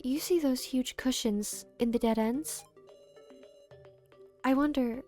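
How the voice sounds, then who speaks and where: A woman's voice: sits high, slow pace, a little mellow, faint music behind. A woman game streamer reading on-screen text aloud and adding casual asides.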